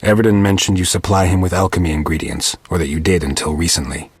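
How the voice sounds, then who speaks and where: An actor playing a gruff, stoic monster hunter in a video game, terse and deadpan with a raspy voice. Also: male voice, deep voice, fast talker, dry recording, soft background music. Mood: stoic, dry. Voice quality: gravelly, raspy. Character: gruff, stoic male monster-hunting witcher, terse and dry.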